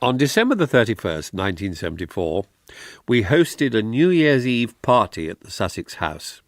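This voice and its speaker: An actor playing a measured older former politician and lawyer reminiscing in a radio drama. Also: male voice, on the low side, dry recording, studio quality.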